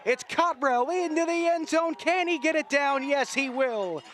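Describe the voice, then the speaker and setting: A man's voice: very high-pitched, unhurried, a bit bright. A man calling live rugby play-by-play outdoors in an enthusiastic, folksy, slangy way. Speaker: play-by-play sports commentator. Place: outdoors at a sports field.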